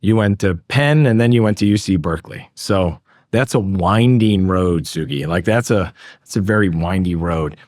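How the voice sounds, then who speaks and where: A man's voice: deep voice, moderate pace, darkish tone, no echo, studio quality. A man hosting an interview in a conversational style, affirming his guest and rephrasing his follow-up questions as he goes. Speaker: interviewer, host.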